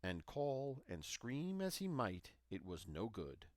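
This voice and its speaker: A man's voice: medium pitch, wildly swooping pitch, slow pace, no room sound, clean studio sound. A man reading a fairy tale as a storyteller, in a dramatic scripted narration.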